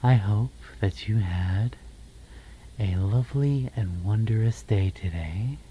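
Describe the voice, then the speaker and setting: A man with a very dark, mellow voice leads a guided ASMR meditation. He speaks very slowly and softly, often slipping toward a whisper, in a gentle, soothing manner. His pitch sits low, and short phrases are set apart by pauses. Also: a little hiss or hum. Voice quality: soft, breathy, half-whispered. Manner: soothing, slow, gentle, pausing between phrases. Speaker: ASMR host guiding meditation.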